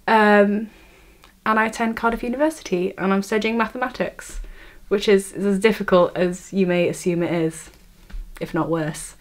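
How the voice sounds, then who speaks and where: A woman's voice: medium pitch, steady tone. Nervous Welsh teen woman vlogging at home. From Wales. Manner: nervous, self-deprecating, halting with restarts. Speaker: student vlogger. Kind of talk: casual vlog to camera. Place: her bedroom. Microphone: camera on a tripod.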